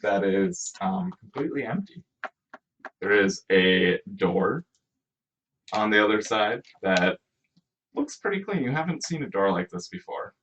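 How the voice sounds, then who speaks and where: A game master narrating a tabletop role-playing game in a halting, conversational way. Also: mid-range voice, sing-song, fairly bright tone, slight background noise. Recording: distant microphone.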